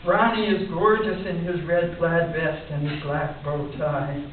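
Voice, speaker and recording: An older woman reads her poetry live at a lectern, with informal spoken asides in an expressive, wryly humorous manner. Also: on the low side, a little slow. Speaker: poet reading her work to a conference audience. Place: indoor conference room, at a lectern. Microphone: distant camera in the audience. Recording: distant, echoey, muffled narrow-band with hiss.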